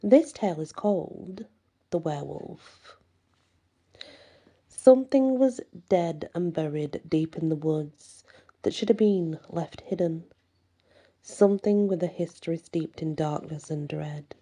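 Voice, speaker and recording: A woman reading a scripted horror story in ASMR style, with a measured, hushed, dramatic delivery. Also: low-pitched. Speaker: storyteller, channel host.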